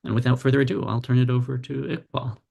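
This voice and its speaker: A man's voice: medium pitch, fairly even intonation, fast talker, creaky, a little mellow, slightly rough, muffled recording. A man hosting a webinar over a video call and reading a formal scripted introduction. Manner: formal, read-out welcome. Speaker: webinar host.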